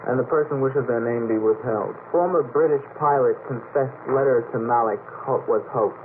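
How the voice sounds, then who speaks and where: A man's voice: medium pitch, moderate pace. A man speaking as a panelist on a late-night radio talk show, discussing and reading items aloud in an unscripted way. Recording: noisy, phone-like old radio broadcast.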